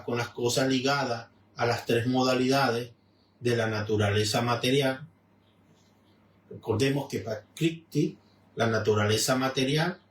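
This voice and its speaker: A man reading a devotional text aloud and explaining it in a measured way with frequent restarts. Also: mid-range voice, far from the mic. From Venezuela. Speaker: religious lecturer reading and commenting. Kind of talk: spoken reading with commentary. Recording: echoey room, off-mic with faint noise.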